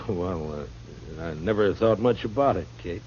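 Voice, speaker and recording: An actor playing a weary, guarded frontier marshal with dry humor and an American accent in an old-time radio western. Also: male voice, low-pitched, measured pace, darkish tone. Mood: amused, relaxed. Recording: muffled, hissy old radio recording.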